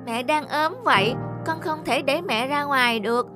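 A woman voicing a small, caring young monkey in an animated cartoon, earnest, eager and polite. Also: sits high, moderate pace, music in the background, close to an everyday voice. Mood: earnest, pleading.

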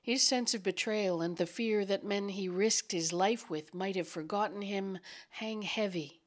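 A woman correspondent narrating a scripted news report in a measured, solemn manner. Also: mid-range voice. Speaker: foreign correspondent.

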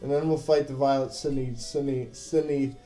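A man's voice: medium pitch, little pitch movement, normal speed, warm tone, echoey room, soft background music. A young man, a bit drunk, rambling and joking while playing a video game with a friend. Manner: joking, rambling, laughs often. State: tipsy. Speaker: game commentator. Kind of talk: drunk casual let's play banter.

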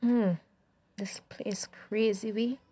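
A young Tobagonian daughter in a radio drama. Her voice sits a little high, and she is evasive, protective of her crooked boyfriend. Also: steady tone, slow pace, warm tone, husky. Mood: feigned weakness.

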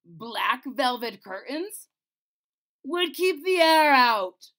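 Woman putting on a loud, hot-tempered storybook king. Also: sits high, animated, drawn-out words, pitched above the performer's own voice, exaggerated voice. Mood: furious. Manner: loud, emphatic, exasperated. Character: hot-tempered, worried, commanding old-time storybook king, male.

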